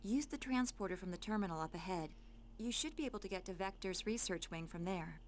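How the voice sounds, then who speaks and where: A woman's voice: medium pitch, lively intonation, a little fast, slight background noise, close to an everyday voice. An actress playing a friendly, self-assured adult woman scientist in a video game cutscene, conversational and familiar in manner. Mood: casual, helpful.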